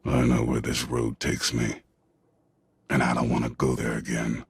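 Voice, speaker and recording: Brooding, extremely deep, raspy warrior. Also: male voice, monotone, a little slow, dry recording, heavily acted. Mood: troubled, fearful. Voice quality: very deep, husky. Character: brooding reformed male warrior, solemn, self-doubting. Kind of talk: video game cutscene acting.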